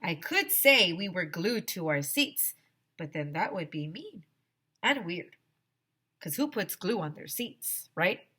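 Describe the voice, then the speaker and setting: A woman teacher reading a children's story aloud expressively, with dramatic pauses and direct asides to young listeners. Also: on the low side, very animated, sharp tone, breathy edge.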